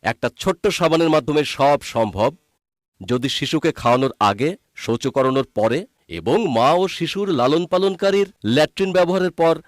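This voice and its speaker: A man's voice: on the high side, dry recording, studio quality, close to an everyday voice. A man playing a friendly cartoon doctor who explains things patiently and expressively to children. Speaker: doctor character. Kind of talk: animated cartoon dialogue.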